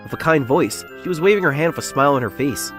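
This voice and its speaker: A man's voice: fairly high pitch, animated, fast talker, faint music behind, close to an everyday voice. A man playing an earnest, self-doubting young salesman who secretly feels like a nerd, narrating his own story in a comic dub. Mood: confiding, wistful. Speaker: narrator and lead character of a romance comic dub. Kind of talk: first-person dramatised narration.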